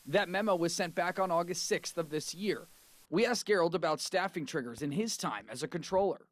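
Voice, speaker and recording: A man's voice: sits high, fairly quick. An American man working as a TV news reporter, reading a scripted narration.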